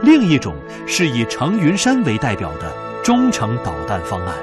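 A man's voice: medium pitch, wildly swooping pitch, normal speed. A man reading scripted dramatic narration for a historical radio drama. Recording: music behind the narration. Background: background music.

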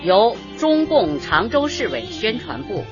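Slow, melodic woman radio announcer. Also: fairly high pitch, big pitch swings. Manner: formal, measured enunciation. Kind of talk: scripted radio credits reading. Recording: dull, lo-fi audio over music.

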